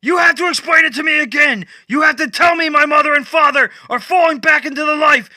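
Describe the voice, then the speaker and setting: A man voicing an enraged masked vigilante who yells in a high, strained voice. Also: a little fast, no room sound, exaggerated voice. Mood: furious. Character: furious masked vigilante, shouting.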